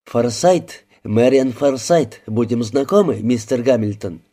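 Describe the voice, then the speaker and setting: A poised young woman with a cool, lightly ironic way of speaking, as a male narrator puts her on. The tone stays mellow and unhurried, her teasing always polite. She sounds like a figure in a read-aloud crime novel. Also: mid-range voice, animated.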